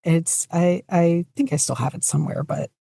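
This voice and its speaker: Interview guest, mellow warm tone, middling pitch, casual chat, hesitant, self-deprecating, close dry recording. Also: fairly even intonation, normal speed, gravelly, no echo. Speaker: podcast guest, fan fiction writer and podficcer.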